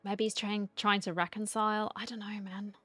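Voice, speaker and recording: A woman's voice: mid-range voice, flat delivery, normal speed, sharp tone, soft background music. Casual woman reacting to a video game. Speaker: gaming content creator. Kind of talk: casual reaction commentary over gameplay.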